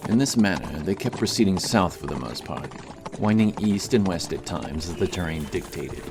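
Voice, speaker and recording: Deep-voiced man narrating fantasy. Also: animated, normal speed, noisy background. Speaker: audiobook narrator. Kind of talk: dramatic scripted fantasy narration. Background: music.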